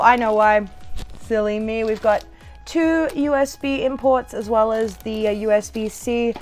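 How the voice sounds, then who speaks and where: High-voiced, playful young woman vlogger. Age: young adult. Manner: playful, bubbly, sing-song asides and exclamations. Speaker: product reviewer. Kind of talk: casual unboxing chat to camera. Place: living room at home.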